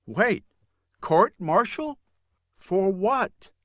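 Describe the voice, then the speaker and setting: A bewildered young military man, acted by a male audiobook reader, pleads for answers in distress. He speaks slowly, with pitch that rises and falls a great deal. The recording is very dry. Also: lively intonation, a bit bright, no echo, full character voice. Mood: shocked. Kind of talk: audiobook character dialogue.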